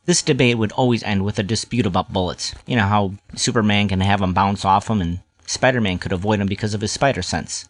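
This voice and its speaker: A man with a lowish voice narrates a scripted story in the first person. He tells it as a nostalgic look back, in the role of storyteller. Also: a little fast, few pauses, dry recording.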